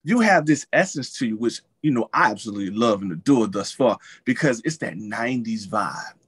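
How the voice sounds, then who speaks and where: A man's voice: lowish voice, lively intonation, brisk, fairly bright tone, husky. A middle-aged man hosting an interview over a video call, praising his guest effusively. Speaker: host.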